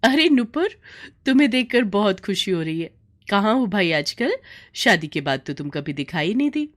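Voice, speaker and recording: A woman voicing a gentle, dutiful young daughter who speaks earnestly in a storybook tale. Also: medium pitch, big pitch swings, moderate pace. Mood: delighted.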